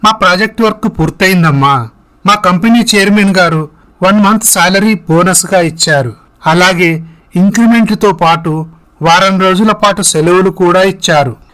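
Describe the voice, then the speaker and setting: A man's voice: high-pitched, moderate pace, fairly bright tone, low-quality recording. A man voicing an earnest, sorrowful young man in a dramatized moral story. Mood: dutiful, polite. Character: heartbroken young man confiding in his parents.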